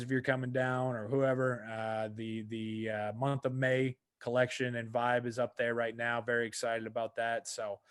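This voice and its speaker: A man's voice: mid-range voice, no echo. A young man chatting casually as a podcast guest, easygoing and rambling with many restarts. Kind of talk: casual interview chat.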